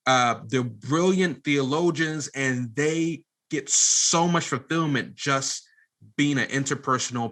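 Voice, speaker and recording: A man's voice: medium pitch, pushed and bright. A man speaking as a podcast guest, candid and earnest, often qualifying and circling back on his own points. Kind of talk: candid conversational interview.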